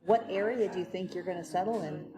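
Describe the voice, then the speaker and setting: A woman's voice: on the low side, quick pace, over music, rough recording. A woman hosting a conversational interview in an effusive, affirming way. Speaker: interviewer and host of an expat life show.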